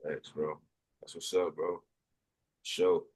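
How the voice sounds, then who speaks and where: A man's voice: extremely low pitch, level tone, takes it slowly, a little mellow, slightly rough, rough recording. A young man leading a casual video call, talking in laid-back slang with short affirmations. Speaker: session host.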